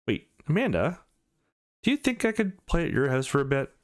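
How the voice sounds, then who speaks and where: A man's voice: fairly high pitch, sing-song, brisk, no room sound, studio quality, voice pushed up. A man voicing a timid, wounded young schoolgirl in a visual novel, hesitant and pleading.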